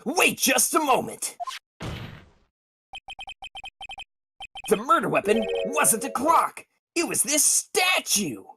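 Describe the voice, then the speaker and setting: An amateur player voicing a brash young male defense attorney in a courtroom video game, emphatic and shouting objections. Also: high voice, big pitch swings, measured pace, background noise, pitched above the performer's own voice, heavily acted. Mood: forceful.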